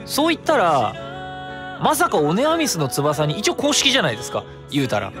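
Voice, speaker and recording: A man's voice: a little high, wildly swooping pitch, normal speed. A man hosting a solo radio show in casual talk, chatty and rambling, thinking aloud and correcting himself as he goes. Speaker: radio host. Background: background music.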